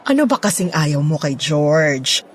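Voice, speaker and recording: Woman acting animated, pushy, teasing matchmaker. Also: low-pitched, unhurried, breathy edge, faint music behind, full character voice. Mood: teasing, coaxing. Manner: insistent, coaxing, teasing. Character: chatty, pushy, teasing married Filipina matchmaker boss lady.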